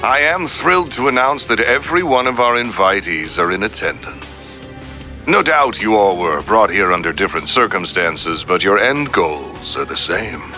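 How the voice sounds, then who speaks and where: A man's voice: lowish voice, moderate pace, faint music behind. A man voicing a suave, coldly polite crime boss delivering a formal, grandiose villain speech in a video game. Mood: courteous menace. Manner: formal, grandiose, calmly threatening.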